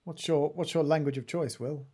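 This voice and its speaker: Higher-voiced man in casual chat. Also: fairly even intonation, normal speed, mellow, husky. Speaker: podcast co-host. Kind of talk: casual chat, teasing questions. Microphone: remote video call.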